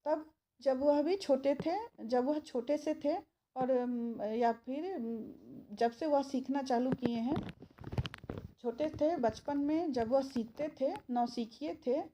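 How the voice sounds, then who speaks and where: A woman teacher explaining a poem line by line, repeating phrases and pausing often with fillers. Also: high voice, warm tone. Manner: slow, repeats phrases, many filler pauses. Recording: dull lo-fi sound with faint noise.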